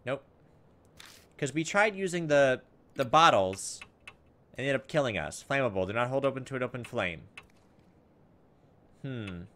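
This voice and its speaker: A man's voice: medium pitch, expressive, a little slow, pauses often, fairly bright tone, a little hiss or hum. A man giving casual gameplay commentary as a let's play host, thinking aloud in a hesitant, musing way.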